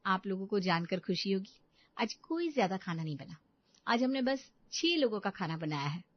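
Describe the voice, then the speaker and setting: A woman's voice: medium pitch, sing-song, moderate pace, no room sound, muffled recording, pitched below the performer's own voice. A woman voicing a cheeky young daughter-in-law who justifies herself huffily in a cartoon family comedy. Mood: proud. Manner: self-justifying, huffy.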